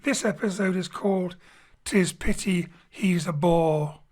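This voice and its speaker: A man hosting and introducing an audio drama from his home studio, relaxed and lightly joking. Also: sits high, measured pace. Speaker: host and director. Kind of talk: friendly show introduction. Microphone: home studio mic.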